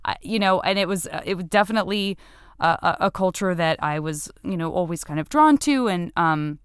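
A woman from Arizona hosts a casual conversation in a smooth, clear voice that sits a little low. She rambles and restarts her thoughts, poking fun at herself, and the recording is close and dry. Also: brisk, no room sound. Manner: rambling, self-deprecating, restarts often. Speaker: podcast host. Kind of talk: casual chat.

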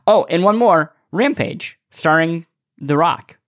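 A man's voice: fairly high pitch, expressive, slow pace, fairly bright tone, no echo, clean studio sound. A man co-hosting a podcast in casual chat, playful and given to exaggeration. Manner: playful, hyperbolic. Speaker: podcast co-host.

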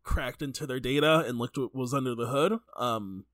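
A man's voice: medium pitch, moderate pace, fairly bright tone, no echo, studio quality. A man co-hosting a podcast, talking casually about video games in a rambling, stop-start way. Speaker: podcast co-host.

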